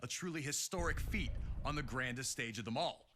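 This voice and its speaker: A man's voice: medium pitch, fairly quick, background noise. A man narrating a scripted sports documentary with dramatic, announcer-like storytelling. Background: music.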